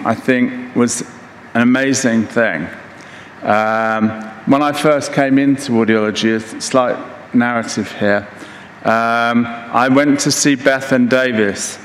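A man in his sixties giving a conference keynote lecture in a measured, halting way, pausing mid-phrase. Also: mid-range voice. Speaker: keynote speaker. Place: large conference hall stage, indoors. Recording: echoey hall with reverb, background noise.

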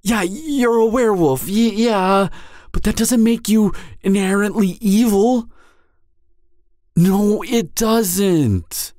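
A man's voice: high voice, takes it slowly, a little mellow, no echo, studio quality. A man playing a devoted hunter boyfriend in an emotional audio roleplay, urgent and stammering, repeating himself as he pleads with his wounded lover. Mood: insistent.

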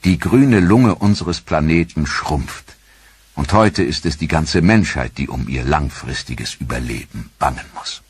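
Male documentary narrator, low husky voice, dry studio read, measured and grave. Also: dry recording.

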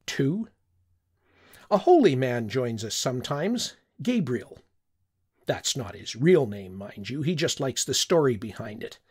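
A man's voice: mid-range voice, sing-song, measured pace, breathy edge. An older man narrating a short story in the first person, reflective and wistful. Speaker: audiobook narrator.

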